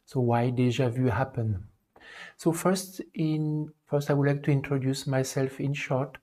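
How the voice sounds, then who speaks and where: A man from France, around forty, with a French accent hosts an online talk. His delivery is even and monotone, with a warm, dark tone. He pauses often and leans on fillers as he hunts for his English words. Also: mid-range voice. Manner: hesitant, with many fillers. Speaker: livestream host. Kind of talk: livestream talk.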